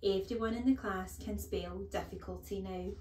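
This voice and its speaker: A woman's voice: mid-range voice, fairly even intonation, normal speed, dark tone, echoey room, a little hiss or hum, close to an everyday voice. A woman voicing a small, brave storybook schoolboy who is eager, polite and a little cheeky, in a piping manner. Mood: proud.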